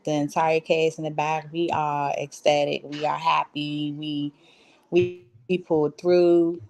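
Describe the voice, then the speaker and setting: A woman's voice: low-pitched, darkish tone. A woman giving casual, conversational interview answers as a stage actress, telling stories by acting out what people said.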